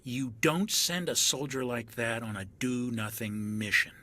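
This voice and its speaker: A man's voice: medium pitch, a little slow, pushed and bright. An actor playing a wary young male soldier in a video game cutscene, speaking in an earnest, suspicious, level-headed way.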